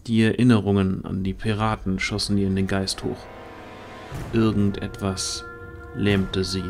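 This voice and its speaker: A man's voice: lowish voice, little pitch movement, a little slow, over music. A man reading dramatic scripted narration for a fantasy audio drama.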